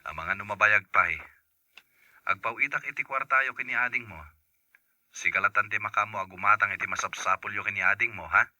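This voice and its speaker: A man's voice: on the low side, brisk, sharp tone, dry recording. A man playing a caring, plain-spoken father in a radio drama, in a dull, narrow recording. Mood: instructing. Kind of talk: Ilocano radio drama acting.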